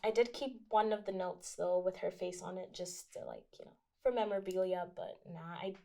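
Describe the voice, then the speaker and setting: A young woman speaks candidly in an interview. Her voice is lowish for a woman and stays quite level. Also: steady tone, fairly quick, gravelly, dark tone. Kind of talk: documentary interview.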